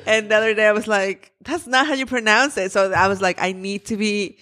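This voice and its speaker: A woman co-hosting a casual podcast in an expressive, chatty way. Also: medium pitch, a little fast. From Mexican heritage. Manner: giggly, expressive. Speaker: podcast host. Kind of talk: casual animated chat.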